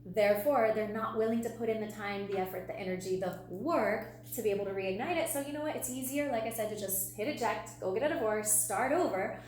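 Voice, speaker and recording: A woman's voice: medium pitch, brisk, few pauses, darkish tone. A woman co-hosting a relationship podcast, talking conversationally and emphatically with rhetorical questions and lists. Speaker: podcast co-host and relationship coach. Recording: echoey room, faint hum.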